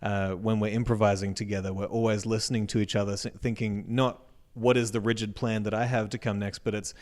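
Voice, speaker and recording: Low-voiced Australian man, earnest. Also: little pitch movement, fairly quick. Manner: reflective, earnest, trails off softly at sentence ends. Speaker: guest, music educator. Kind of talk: casual interview talk.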